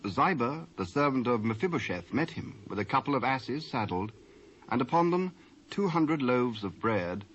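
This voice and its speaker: A British man narrating scripture in a solemn, measured, scripted style. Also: mid-range voice. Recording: dull lo-fi cassette sound.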